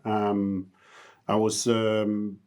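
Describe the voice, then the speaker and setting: A man's voice: fairly low pitch, completely flat delivery, drawn-out words, darkish tone. A middle-aged man from Tonga, speaking earnestly as a podcast guest and motivational speaker, his English grammar uneven. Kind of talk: podcast interview.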